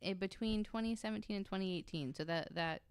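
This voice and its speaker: A woman's voice: mid-range voice, brisk, smooth, no room sound. A woman chatting casually and bluntly on a discussion panel, sometimes reading information aloud. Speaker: podcast panelist. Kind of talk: casual panel chat, reading out web pages.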